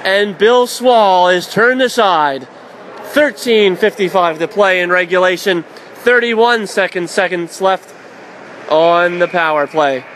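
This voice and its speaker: A man calling college hockey play-by-play for radio, rising to shouts on shots and saves. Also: sits high, slow pace, a little hiss or hum. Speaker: play-by-play announcer.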